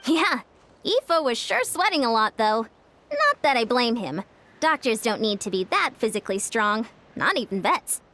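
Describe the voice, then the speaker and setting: A woman's voice: on the high side, very animated, normal speed, pushed and bright, a little hiss or hum. A woman playing a confident, easygoing young female coach in a video game, with upbeat delivery. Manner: upbeat, easygoing, self-assured. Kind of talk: English video game voice acting.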